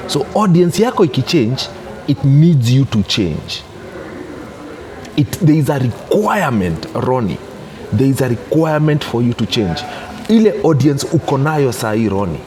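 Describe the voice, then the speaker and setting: A man's voice: medium pitch, big pitch swings, moderate pace, a little mellow. Kenyan gospel DJ, 30s, male, mid voice, insistent and repetitive, noisy ambience behind. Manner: emphatic, repeats "unanishika?" to check understanding. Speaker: interview guest, gospel DJ. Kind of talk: casual interview, mixing English and Swahili.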